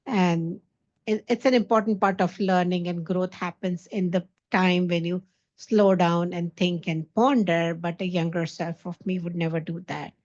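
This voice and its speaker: A middle-aged woman on a panel, reflecting on her own path and giving gentle encouragement. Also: fairly low pitch, steady tone, measured pace, muffled recording. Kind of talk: panel discussion answer.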